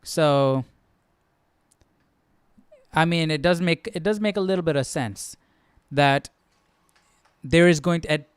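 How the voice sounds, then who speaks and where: A man with a smooth, clear voice talks casually as the host of a study session. His pitch sits a little high, and the recording is close and dry. He thinks aloud conversationally, often repeating phrases as he questions things. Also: slow, pauses often, no room sound. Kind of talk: casual off-the-cuff commentary.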